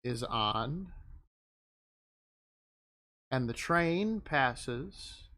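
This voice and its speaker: Slow, bright-toned male streamer. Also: medium pitch, expressive. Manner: rambling, thinking aloud. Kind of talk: casual live-stream chat.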